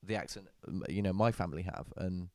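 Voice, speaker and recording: A man's voice: low-pitched, fairly quick, darkish tone, no echo, clean studio sound. A man with a northern English accent, chatting casually and giving opinions, often repeating words. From northern England. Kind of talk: casual chat.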